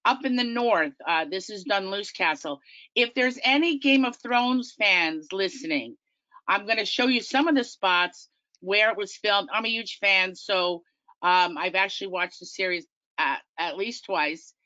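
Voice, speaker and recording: A bright, forceful woman with a Long Island accent talks through a travel slideshow, chatty and eager. The sound is dry and close, a little dull, like home audio on a video call. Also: medium pitch, a little slow, dry recording. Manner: chatty, enthusiastic, self-deprecating. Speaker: tour company business development manager. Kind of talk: webinar slideshow presentation.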